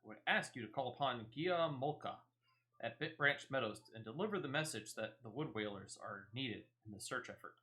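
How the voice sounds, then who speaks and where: A man's voice: mid-range voice, expressive, moderate pace, sharp tone. A man voicing a formal, commanding military officer in a fantasy game, with declamatory, orderly delivery. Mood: brisk, concerned. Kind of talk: video game quest dialogue read aloud.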